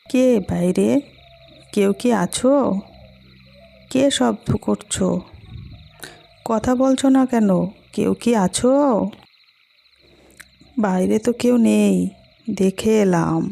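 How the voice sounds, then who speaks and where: A woman's voice: medium pitch, slow, creaky, long pauses, dark tone, heavily acted. A woman playing an anxious, protective village mother in a cartoon, worried and questioning. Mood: uneasy. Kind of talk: animated story dialogue.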